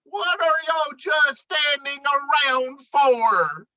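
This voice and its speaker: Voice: high-pitched, lively intonation, very slow, bright, forceful tone, muffled recording, voice pushed up, heavily acted. A woman voicing a bossy, easily panicked male moose foreman in a children's storybook, with dramatic, commanding exclamations. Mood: panicked, wailing.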